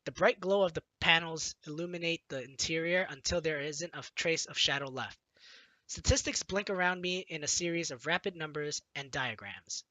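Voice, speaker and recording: A man's voice: fairly high pitch, normal speed, sharp tone, dry recording, rough recording. A man reading a visual novel aloud, narrating in the first person with light laughs. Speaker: let's-play reader.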